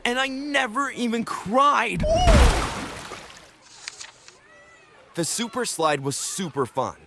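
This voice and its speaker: A woman's voice: a little high, big pitch swings, unhurried, very noisy. A woman voicing an anxious, eager young cartoon bean in an exaggerated, hesitant children's read-aloud style. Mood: thrilled.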